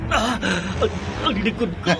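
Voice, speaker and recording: A man's voice: extremely high pitch, lively intonation, measured pace, over loud music. A Filipino actor playing a furtive burglar in a radio drama, a desperate man when cornered. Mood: terrified, pleading.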